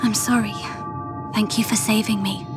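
A woman playing a timid, gentle teenage girl in a video game, hesitant and breathy. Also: mid-range voice, fairly even intonation, normal speed, lightly acted. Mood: contrite. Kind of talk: dramatic video game voice acting. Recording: music behind the voice.

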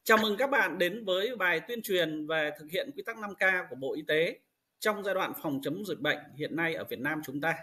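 A man's voice: sits high, lively intonation, normal speed, sharp tone. A man reading scripted narration for a public information video, on a noisy played-back recording.

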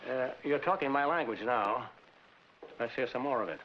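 A man's voice: medium pitch, normal speed, close to an everyday voice. An actor playing a greedy, smug middle-aged businessman with oily courtesy in a 1940s comedy film. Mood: keen, greedy. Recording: muffled, noisy 1940s film soundtrack.

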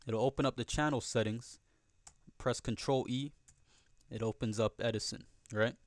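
A man narrating a software tutorial casually and conversationally, often checking in with the listener, recorded as a close voice-over. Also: medium pitch, fairly even intonation, moderate pace, no room sound. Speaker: tutorial host.

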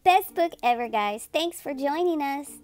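A woman reading a picture book aloud to children as a storytelling host, with expressive, drawn-out playful emphasis. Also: high voice.

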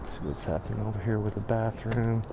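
A man with an American accent walks a construction site outdoors, talking casually to his camera and thinking aloud with plenty of pauses and fillers. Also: fairly low pitch, steady tone. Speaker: videographer on a walking site tour. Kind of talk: casual walking commentary. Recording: outdoor camera audio with noticeable background noise.

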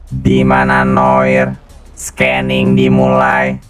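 A man's voice: completely flat delivery, extremely slow, soft background music, heavily acted. A man voicing a cold, menacing killer robot through a robotic voice changer, speaking in clipped, repeated machine phrases in a game roleplay. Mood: cold, mechanical. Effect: deep robotic voice changer.

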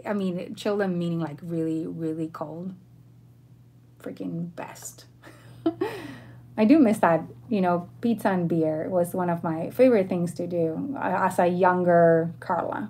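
A woman's voice: fairly low pitch, slow, darkish tone. Chatty woman from Argentina, livestreaming. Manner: informal, chatty, friendly address to viewers. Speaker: livestream host. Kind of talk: casual livestream chat, answering viewer questions. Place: bedroom at home, indoors.

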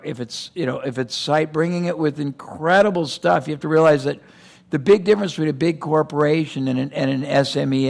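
An older man giving a keynote on a conference stage, talking in an animated way with quick digressions and restarts. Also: fairly high pitch, creaky. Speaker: keynote speaker. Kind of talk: conference keynote in seated interview format. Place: conference stage before a live audience.

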